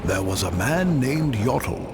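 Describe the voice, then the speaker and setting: An actor playing an ancient immortal warrior in a cartoon game, solemnly telling his tale in a grave, measured, wistful voice over music. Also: medium pitch, very animated, background noise. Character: immortal ancient warrior, regretful and weary, recounting his curse. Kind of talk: solemn dramatic storytelling. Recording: loud music under the voice.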